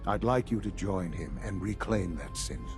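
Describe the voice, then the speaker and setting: An actor playing a formal, authoritative male leader giving measured orders in a video game. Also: low-pitched, animated, music in the background. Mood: grave, commanding. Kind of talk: video game dialogue acting.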